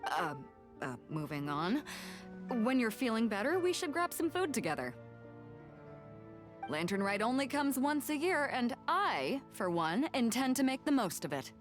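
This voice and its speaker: An actress playing a confident, easygoing, hearty sea captain in a video game. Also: female voice, fairly high pitch, sing-song, measured pace, soft background music.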